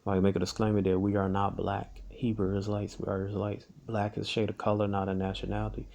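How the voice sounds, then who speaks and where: A man teaching for a religious group, reading an article aloud haltingly and breaking in often with his own comments. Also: on the low side, monotone, vocal fry, a little mellow, slight background noise. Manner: halting reading, constant "all right" interjections.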